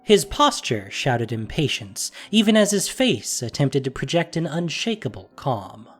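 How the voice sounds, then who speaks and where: A man narrating a scripted fantasy story in an expressive, dramatic way. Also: medium pitch, measured pace, slightly rough, faint music behind.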